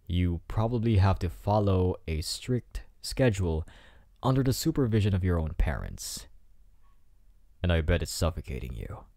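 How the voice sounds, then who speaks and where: A man's voice: deep voice, sing-song, dark tone, no echo. Guy playing a cocky flirty delinquent, asmr roleplay, teasing, really swoopy intonation, dry close mic. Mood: smug, probing. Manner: teasing, smug, drawn-out delivery. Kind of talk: intimate ASMR roleplay acting. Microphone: close microphone in a dry space.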